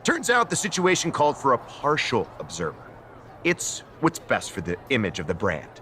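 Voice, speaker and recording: An actor playing a scheming, smug general manager in a wrestling video game, theatrical and sarcastic. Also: male voice, mid-range voice, wildly swooping pitch, normal speed, sharp tone, slight background noise. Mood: smug, defensive. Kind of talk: video game cutscene acting.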